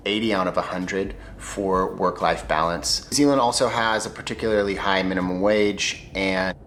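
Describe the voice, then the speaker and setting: Deep-voiced American man presenting. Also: moderate pace, slight background noise. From United States. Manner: clear, steady presenter delivery. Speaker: YouTuber. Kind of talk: scripted vlog narration.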